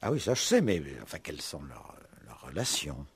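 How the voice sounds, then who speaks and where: A man's voice: a bass voice, huge pitch swings, fairly quick, creaky, breathy edge, full character voice. A man playing a wry, insistent police commissioner in a 1960s French radio play, probing with mock politeness and pointed repetition.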